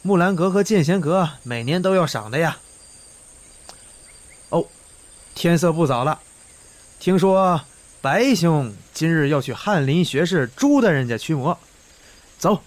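A man playing a cheerful, friendly young gentleman in a costume radio drama. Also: fairly high pitch, lively intonation, measured pace, pauses often. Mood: amused, eager. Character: cheerful, generous young gentleman, second son.

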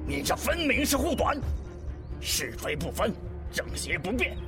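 A man's voice: high voice, very animated, unhurried, music in the background. A man playing a self-righteous, pompous old martial arts elder, villainous and indignant, in a wuxia radio drama. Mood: furious.

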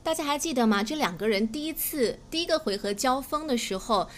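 A woman's voice: sits high, lively intonation, brisk, slight background noise. A native Chinese-speaking woman giving a chatty, explanatory English lesson, reading phrases aloud and glossing them. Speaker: English teacher and podcast host.

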